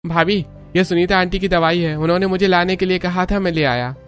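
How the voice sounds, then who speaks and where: A man's voice: sits high, a little fast, fairly bright tone. A man voicing a polite, overworked young neighbor in a cartoon story. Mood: obliging. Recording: music under the voice.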